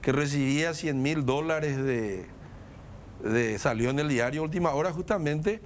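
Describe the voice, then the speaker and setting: Non-native man, guest pundit, slightly higher voice, measured and lecturing, faint hiss behind. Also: animated. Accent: non-native Spanish. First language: not Spanish. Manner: measured, lecturing, emphatic lists. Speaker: guest commentator. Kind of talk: political discussion.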